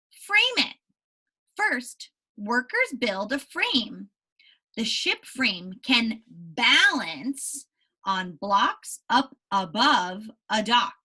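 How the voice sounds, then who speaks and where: A woman teacher giving a read-aloud lesson to young children, animated and explanatory with frequent check-in questions, in a slightly husky voice. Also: on the high side. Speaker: elementary school teacher.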